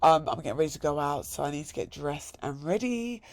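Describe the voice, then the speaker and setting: Upbeat gravelly man. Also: a little high, lively intonation, brisk, no room sound. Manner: repeats words in threes, upbeat. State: hoarse. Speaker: crypto vlogger. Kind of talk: casual screen-share walkthrough.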